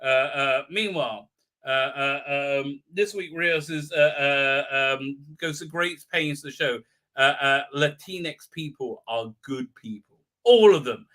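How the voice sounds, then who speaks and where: A man hosting a fan review livestream, giving a rambling, halting, sarcastic recap with repeated words and exasperated outbursts. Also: medium pitch, pushed and bright. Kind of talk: casual mocking episode recap.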